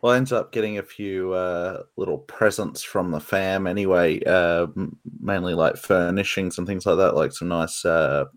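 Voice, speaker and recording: A man's voice: sits low, moderate pace, hardly any pauses. A man in his twenties chatting casually with friends, hesitant and full of uhs. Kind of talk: casual chat among mates.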